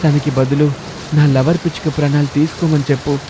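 A man's voice: a little high, level tone, fairly quick, background noise, pitched above the performer's own voice, close to an everyday voice. Man voicing a dramatic cartoon husband crow. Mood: eager, hopeful. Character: suspicious husband crow, wounded and self-pitying, bird cartoon.

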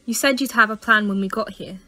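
Young student sidekick, female actor: forthright, dryly questioning, slightly high, crisp bright tone, audio drama. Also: a little fast. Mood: impatient. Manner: dry, questioning. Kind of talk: sci-fi audio drama acting.

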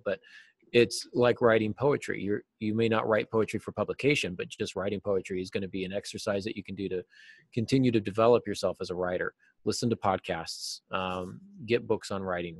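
Low-pitched man, dry close sound. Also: no room sound. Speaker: guest, novelist and podcast host. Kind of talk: conversational interview advice. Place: small cluttered office, indoors.

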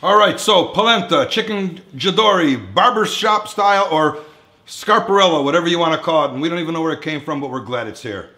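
A man's voice: a little high, expressive, fairly quick, bright, forceful tone. A man in his fifties hosting a casual cooking demonstration, chatting informally to viewers at home. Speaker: chef hosting a cooking show. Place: commercial-style kitchen, indoors. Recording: echoey room, some background noise, kitchen clatter.

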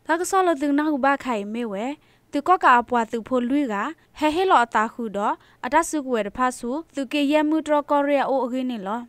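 A woman's voice: high-pitched, expressive, moderate pace, a bit bright, no room sound. A woman reading scripted news on the radio.

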